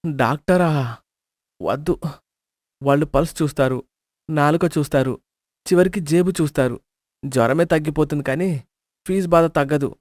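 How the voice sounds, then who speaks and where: A man's voice: on the high side, normal speed, long pauses, a little mellow, no room sound, clean studio sound, close to an everyday voice. A man voicing a stingy, penny-pinching middle-aged miser in a storybook, with a wheedling, wry way of talking. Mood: scheming. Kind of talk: storybook character voice.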